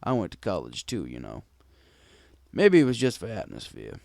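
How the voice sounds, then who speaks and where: A man's voice: sits low, huge pitch swings, moderate pace, vocal fry, darkish tone, no echo, clean studio sound. A man reading a scripted first-person story as a wry, conversational bartender pony. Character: wry, gruff bartender pony telling his tale. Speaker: story narrator.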